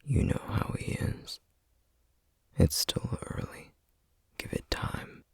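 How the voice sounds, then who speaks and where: A man playing a stern, duty-bound flame demon in an ASMR roleplay, speaking in a breathy, husky half-whisper with grave, measured formality. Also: dry recording, studio quality, lightly acted. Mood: calm, reassuring. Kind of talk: ASMR roleplay, scripted acting.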